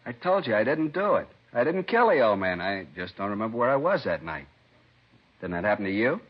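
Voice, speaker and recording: A man playing a sullen, evasive addict under police questioning in a 1950s radio drama, husky, slangy and defensive. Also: fairly low pitch, big pitch swings, brisk. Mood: agitated, defensive. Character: defensive, evasive male drug-addict murder suspect, bitter and jittery. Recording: dull vintage radio recording.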